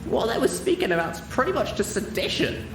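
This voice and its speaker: A man puts on a storybook pegasus mare, pitched far above a male voice. She is brash, blunt and quick to anger. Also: wildly swooping pitch, vocal fry, roomy sound, noisy background, heavily acted. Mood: cross, grim. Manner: blurting, cross, blunt. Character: brash, hot-tempered female pegasus pony.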